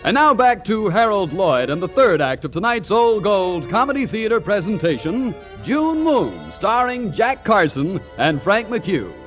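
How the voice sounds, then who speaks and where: A man's voice: sits high, big pitch swings, unhurried, hardly any pauses, a bit bright, faint music behind. An American man announcing for a sponsor on 1940s radio in a polished, salesmanlike manner. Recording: vintage 1940s radio broadcast.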